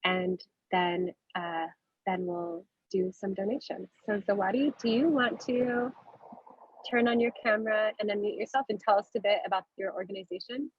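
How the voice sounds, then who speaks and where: A woman organizing and hosting an online workshop, speaking in a friendly, steady way. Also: mid-range voice, normal speed, husky. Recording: dull, dry video call audio.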